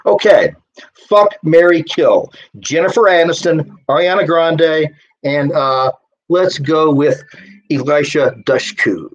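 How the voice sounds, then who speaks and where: A man's voice: on the high side, slow pace, lo-fi sound. A playful young woman read by a man in a short story. She teases her lover with blunt, casual slang. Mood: teasing.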